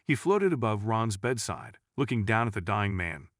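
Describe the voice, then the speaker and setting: Male storyteller for scripted true stories, lowish voice with lively melody, recorded dry. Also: normal speed, dry recording. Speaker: narrator.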